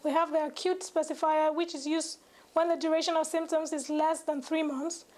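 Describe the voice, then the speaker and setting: A woman's voice: high-pitched, little pitch movement, moderate pace, a little mellow. A young woman, a medical student, giving a scripted educational presentation, reading formally and carefully with occasional stumbles. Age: young adult.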